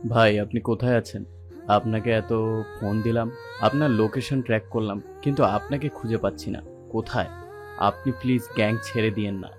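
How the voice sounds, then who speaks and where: A man's voice: fairly low pitch, steady tone, brisk, close to an everyday voice. A man playing a deferential, beseeching gang henchman in an audio story. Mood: anxious pleading. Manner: respectful, beseeching. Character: deferential, pleading young male gang henchman. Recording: music clearly behind the voice.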